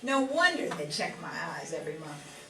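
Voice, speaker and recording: A woman's voice: low-pitched, big pitch swings, moderate pace. A woman playing a hearty, sociable wartime factory worker in a 1940s-set radio play, with a folksy, jokey manner. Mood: matter-of-fact. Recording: echoey room, distant mic, music behind.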